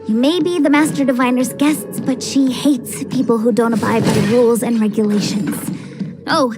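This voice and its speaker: A woman's voice: fairly high pitch, fairly even intonation, moderate pace, natural voice. A woman playing a cheerful, chatty young guide in an anime-style video game, playful and theatrical, with music behind her. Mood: cautioning.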